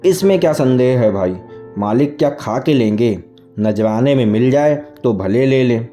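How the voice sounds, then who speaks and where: Man reading as a wily farmer, middle-aged, ingratiating, folksy, mellow tone, storybook tale, soft music behind. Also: medium pitch, animated, measured pace, natural voice. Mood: flattering. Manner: ingratiating, folksy, rustic speech.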